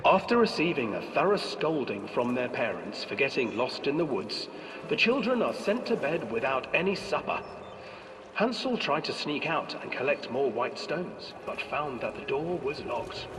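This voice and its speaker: An actor playing a theatrical storyteller, narrating a dark fairy tale for a video game with deliberate drama. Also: male voice, medium pitch, big pitch swings, background noise, natural voice. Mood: theatrical, ominous. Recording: echoing hall, distant, music behind.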